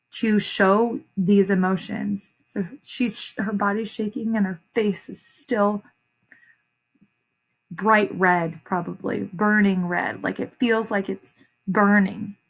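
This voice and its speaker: Slow-paced woman reading aloud to children. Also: medium pitch, rough recording. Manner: pausing to question and explain to young listeners. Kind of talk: children's read-aloud with explanatory asides.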